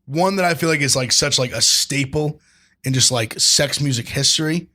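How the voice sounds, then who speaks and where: A man co-hosting a podcast in casual chat, with a deep raspy baritone and a laid-back delivery full of "like" fillers. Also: normal speed, pushed and bright. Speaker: podcast co-host.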